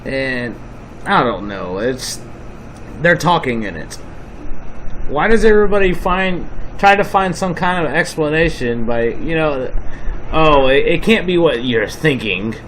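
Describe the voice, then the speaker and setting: A man's voice: a little high, expressive, a little slow, a bit bright, a little hiss or hum, low-quality recording. A man giving casual, insistent commentary over footage as the host of a video channel.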